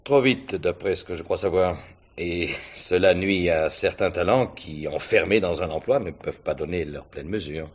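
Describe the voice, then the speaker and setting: A police inspector from an old-fashioned radio mystery. His voice is very low and rough-edged. He questions courteously and deliberately, musing aloud with small hesitations as he probes. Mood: wry, courteous. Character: courteous, probing male police inspector on a murder case. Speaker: radio drama actor. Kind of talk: French mystery radio drama acting, 1950s manner.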